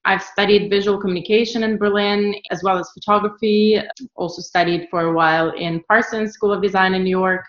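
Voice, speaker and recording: A woman's voice: medium pitch, fairly quick. Ukrainian-born woman, roomy muffled sound. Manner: relaxed, frequent fillers and restarts. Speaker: guest, travel photographer. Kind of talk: casual interview answers.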